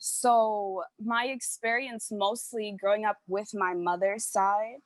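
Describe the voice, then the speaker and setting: A woman's voice: medium pitch, steady tone, slow, no room sound. Candid New York woman, dry close sound. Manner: candid, emphatic, swears casually. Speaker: panelist artist. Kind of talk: casual panel chat. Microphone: video call.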